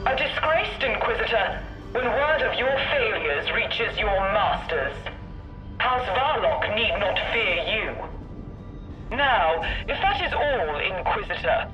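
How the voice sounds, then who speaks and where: An actress playing a haughty noble commander of a knight house in a video game, cold and disdainful. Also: female voice, mid-range voice, animated, slow, echoey room, lo-fi sound, over music. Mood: dismissive. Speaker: game character. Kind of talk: dramatic video game cutscene acting.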